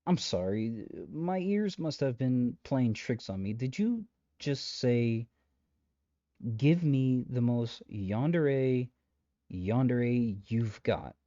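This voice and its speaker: A man's voice: mid-range voice, expressive, slow pace, warm tone, no room sound, lo-fi sound. A man playing an exasperated, chatty matchmaker in a comedic roleplay audio drama, talking straight to the listener with wry, rambling asides and dry understatement. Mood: incredulous. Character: exasperated, chatty male matchmaker peddling possessive lovestruck suitors.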